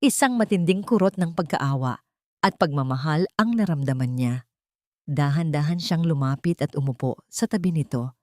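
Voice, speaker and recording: Woman storyteller, solemn emotive delivery, scripted dramatic narration, moderately low pitch, dry close sound. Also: moderate pace, a little mellow, no room sound.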